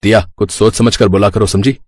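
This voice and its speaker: Supportive young husband, low-pitched played by a man. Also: fairly even intonation, fairly quick, dry recording, clean studio sound, close to an everyday voice. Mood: firm. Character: supportive young husband, protective, reasonable, firm.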